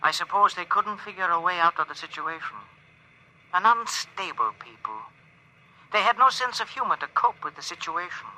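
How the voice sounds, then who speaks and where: A man playing a gentle, reflective male alien space traveler through a radio transmission filter, in 1950s radio acting style. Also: high voice, expressive, moderate pace, full character voice. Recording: sharp, noisy overheard radio transmission.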